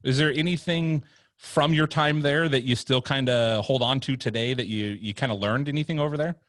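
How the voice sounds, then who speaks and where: A man's voice: medium pitch, normal speed, a bit bright, no echo, clean studio sound. A man in his late thirties hosting a podcast, joking and teasing his guest in a casual chat. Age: 30s. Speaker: podcast host. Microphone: video call.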